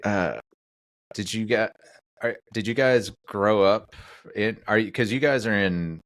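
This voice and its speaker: Keep it loose and halting, a low-voiced guy with plenty of "uh" and "like", close and dry. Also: dry recording. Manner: halting, many fillers and restarts. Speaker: podcast host. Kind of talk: casual interview chat.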